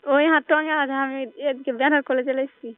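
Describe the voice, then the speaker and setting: A woman's voice: high-pitched, little pitch movement, fast, no room sound. A woman chatting casually and flirtatiously on a phone call in a rural Bengali dialect. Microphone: telephone. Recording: muffled narrow-band phone call.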